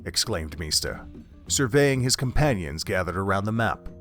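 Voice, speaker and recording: A male narrator tells a dramatic story from a script. His voice sits on the low side, in the style of audio drama narration. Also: sing-song, moderate pace, a bit bright, soft background music.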